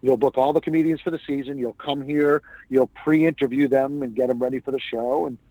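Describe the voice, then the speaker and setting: A man's voice: medium pitch, steady tone, fairly quick, no room sound, narrow, tinny audio. A man, a stand-up comedian, telling stories as a guest in a casual interview. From New England. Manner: chatty anecdotal storyteller.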